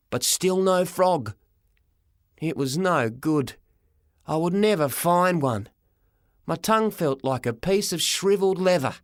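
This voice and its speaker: A man narrating a first-person story for an audiobook, dramatic and expressive, in short measured phrases. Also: a little high, clean tone, no room sound, clean studio sound.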